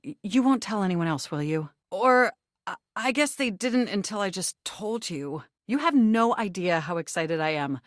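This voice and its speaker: A nerdy, flirtatious personal computer come to life, acted by a woman for a video game. Her mid-pitched voice rises and dips in a very lively way. She talks in precise, formal, technical phrases, eager and easily flustered, in a dry studio sound. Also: moderate pace, no room sound, natural voice. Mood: flustered, apologetic, then excited. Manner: formal, wordy, technical vocabulary. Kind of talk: scripted video game voice acting.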